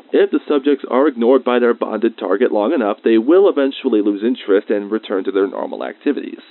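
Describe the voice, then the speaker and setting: Man acting as male facility researcher, fond and semi-formal, measured audio diary, thin phone-like radio filter, dry sound. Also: medium pitch, dry recording. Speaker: researcher recording a personal log.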